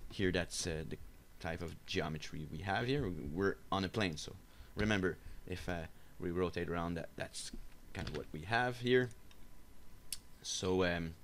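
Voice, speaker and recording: A man giving an unscripted software screencast tutorial, explaining step by step in a halting, hesitant way. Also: sits low, a little hiss or hum. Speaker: tutorial instructor. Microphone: voice-over for a screen recording.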